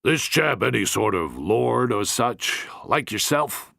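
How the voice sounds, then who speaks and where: A man voicing a bluff, blustering older patriarch who speaks in clipped, gruff bursts. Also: medium pitch, expressive, slow, sharp tone, no room sound, studio quality, full character voice.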